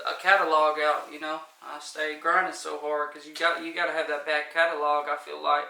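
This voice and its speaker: A man's voice: on the high side, level tone, a little fast, gravelly, pushed and bright, reverberant, far from the mic, low-quality recording. A man, a rapper, talking loosely in a casual interview, with a light voice and lots of fillers. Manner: loose, rambling, many fillers.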